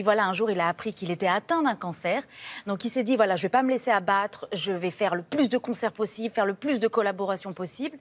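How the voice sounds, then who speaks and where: Husky-voiced female culture reporter. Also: mid-range voice. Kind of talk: TV culture segment, live studio chat. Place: TV studio.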